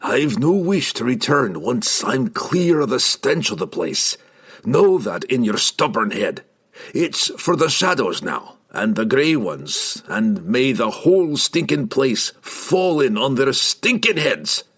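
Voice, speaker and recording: A roaring, gruff old dwarf. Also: male voice, medium pitch, big pitch swings, slow, a bit bright, voice pushed up, heavily acted. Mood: grim. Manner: roaring, growling, old-fashioned dwarven speech. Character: furious old male dwarf, gruff and stubborn. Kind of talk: audiobook character voice.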